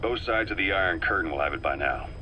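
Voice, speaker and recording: An actor playing a shrewd male intelligence officer who talks in a measured, persuasive and faintly sardonic way. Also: low-pitched, expressive, highs cut off, slight background noise. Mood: analytical. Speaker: advisor on a recorded tape. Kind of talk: video game voice acting.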